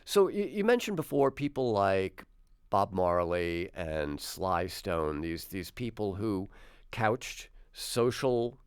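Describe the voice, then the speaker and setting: A man's voice: deep voice, big pitch swings, slow pace, clear, resonant tone, no room sound. A man hosting a radio interview in a studio, asking curious, conversational, roundabout questions. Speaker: radio host. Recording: dry studio sound.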